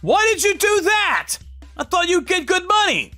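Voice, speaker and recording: A man's voice: sits high, big pitch swings, moderate pace, sharp tone, no room sound, faint music behind, voice pushed up, full character voice. A man playing an indignant, blunt older brother, a protective factory worker, in a dramatized story. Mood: shocked. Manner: blunt, scolding.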